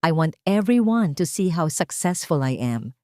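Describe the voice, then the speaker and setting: A woman's voice: fairly low pitch, big pitch swings, unhurried, darkish tone, no room sound, clean studio sound. A woman voicing a greedy, boastful husband hungry for status in a dramatic audio story. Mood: gloating.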